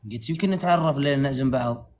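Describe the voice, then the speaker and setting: A man's voice: medium pitch, racing, slight background noise. A man from Saudi Arabia with a Saudi accent, bantering casually and jokingly on a livestream. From Tabuk, Saudi Arabia. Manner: joking, animated.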